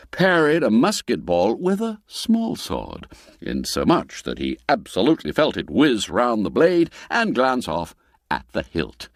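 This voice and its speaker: A man narrating a classic spooky tale in a measured, expressive storytelling manner. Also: low-pitched, no echo. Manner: measured, expressive storytelling with sweeping rises and falls. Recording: dry studio with faint music.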